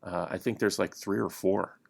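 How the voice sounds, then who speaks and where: A man with a low, gravelly voice talks as a guest on a casual podcast. His unscripted speech is halting, with frequent pauses in the middle of sentences. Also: steady tone, darkish tone. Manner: halting, pausing often mid-sentence. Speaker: podcast guest, radio hobbyist.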